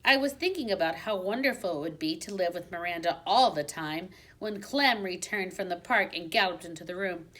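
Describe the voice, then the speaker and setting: A woman reading a children's book aloud like a teacher, with expressive storybook narration and dramatic emphasis. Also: on the low side, moderate pace, few pauses. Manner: dramatic emphasis on exciting moments.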